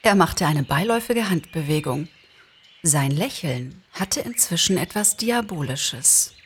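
A woman narrating a story as an expressive scripted reading. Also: low-pitched, measured pace, a little hiss or hum.

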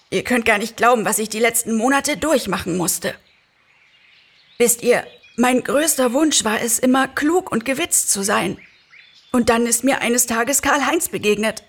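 A woman voicing a slight, excitable man freed from a spell in an audio-play reading, exclaiming and cheeky. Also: a little high, moderate pace, husky, voice pushed up. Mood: excited, indignant. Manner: overjoyed exclaiming, cheeky and self-assured.

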